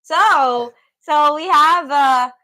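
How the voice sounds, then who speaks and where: Woman co-host with a higher, bright voice, chatty and reading viewer comments. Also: drawn-out words. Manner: reads out viewer comments, laughs along. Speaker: livestream co-host. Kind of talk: casual call-in livestream chat.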